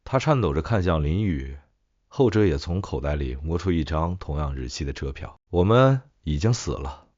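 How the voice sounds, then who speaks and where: Male audiobook narrator for ghost stories: extremely deep voice, dull-sounding track. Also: lively intonation, normal speed, a little mellow, no room sound. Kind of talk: scripted horror story narration.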